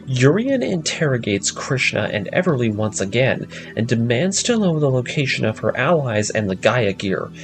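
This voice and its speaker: A man delivers scripted story narration with a middle-pitched voice and lively, varied intonation. He recounts the plot as a narrator would, with faint music running underneath. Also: low-quality recording. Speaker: narrator of an anime story breakdown series.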